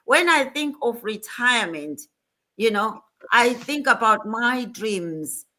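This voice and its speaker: A woman's voice: on the high side, sharp tone. A woman working as a nurse, hosting an online panel chat in an earnest, motivational way. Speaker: host.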